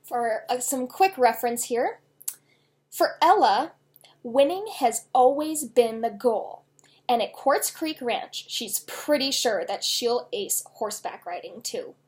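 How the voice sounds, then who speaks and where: A woman author reading her own children's novel aloud in an expressive storytelling style. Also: a little high, slow pace.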